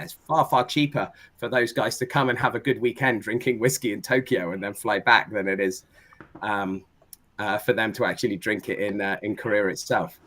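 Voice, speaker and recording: A man's voice: lowish voice, a bit bright. A man chatting casually and wryly in a roundtable on a video call. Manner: chatty and wry. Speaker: whisky video maker.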